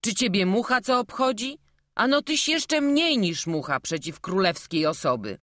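Woman doing gruff servant man, blunt and scolding, loud and lively, dry storybook read. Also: medium pitch, moderate pace, no room sound, voice pushed up.

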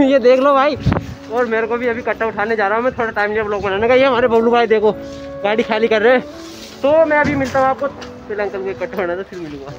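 Chatty high-voiced young vlogger man. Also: fast talker, muffled recording, music in the background. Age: young adult. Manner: chatty, teasing, laughs at friends. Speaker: village vlogger. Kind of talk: casual vlog chat to camera. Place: outdoors in a village and on a moving bike. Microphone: phone held at arm's length.

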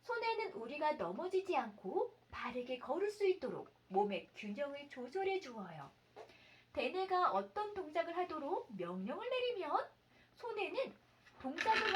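A woman's voice: sits high, background noise. A woman reading a children's science storybook aloud as a mother, expressively, explaining like a teacher and putting questions to the child.

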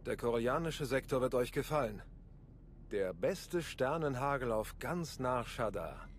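An actor playing a charming, roguish intelligence agent in a video game, smooth-talking, breezy and flirtatious. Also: male voice, medium pitch, animated, measured pace, slight background noise, lightly acted. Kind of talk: video game cutscene acting.

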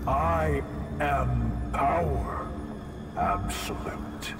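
Ponderous, gravelly demon king. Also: very animated, extremely slow, over loud music, exaggerated voice. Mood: cold menace. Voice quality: deep, gravelly. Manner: ponderous, grandiose. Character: huge, imperious male demon king, cold and menacing. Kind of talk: cinematic action game villain.

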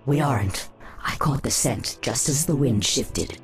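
A woman voicing a devoted, coolly scheming sea-serpent sorceress in a fantasy game, in measured, formal speech. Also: extremely low pitch, animated. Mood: watchful. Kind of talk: scripted fantasy game acting. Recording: music under the voice.

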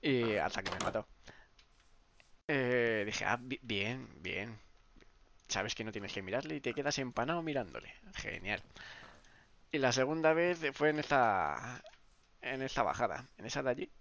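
A man's voice: fairly bright tone, highs cut off. Congested man streaming a game. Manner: rambling, many restarts, low mumbled asides. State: congested, sore throat. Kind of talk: casual live-stream chat.

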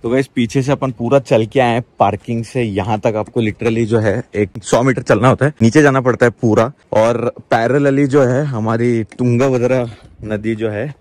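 A young man talking excitedly on a casual travel vlog, mixing English words into his Hindi. He records outdoors by a river and in a small round boat, speaking into a handheld camera. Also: mid-range voice, moderate pace. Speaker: travel vlogger.

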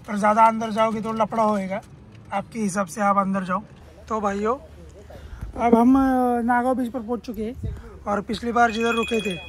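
A man's voice: very high-pitched, fairly bright tone. A young man in his twenties chatting casually to viewers on a road-trip vlog, filming himself at arm's length and explaining prices and places. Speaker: travel vlogger. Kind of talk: casual travel vlog narration. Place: outdoors at markets and beach, also in a car and a room. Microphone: phone camera at arm's length. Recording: noisy outdoor phone audio.

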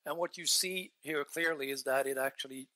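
A man's voice: medium pitch, a little fast, pushed and bright. A man in his forties giving an earnest technical talk on a headset mic in a large conference hall, gesturing as he speaks and often restarting his phrases. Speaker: conference presenter.

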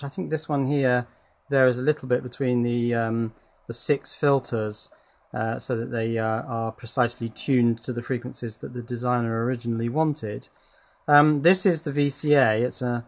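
A man's voice: medium pitch, creaky. A man presenting an informal hands-on electronics demonstration in a matter-of-fact, explanatory way.

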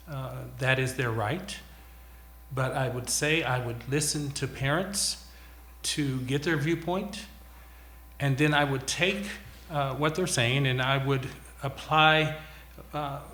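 Slow, bright-voiced man in echoey room. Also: medium pitch. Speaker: school board candidate. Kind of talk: candidate forum answers. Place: public access TV studio. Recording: roomy studio echo.

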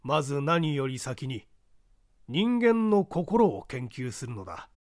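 A man's voice: mid-range voice, sing-song, takes it slowly, a little mellow, no room sound, studio quality. A man voicing an opinionated professor of forensic medicine in a dramatic audiobook reading, declaiming in a didactic, emphatic way. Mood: emphatic, assertive.

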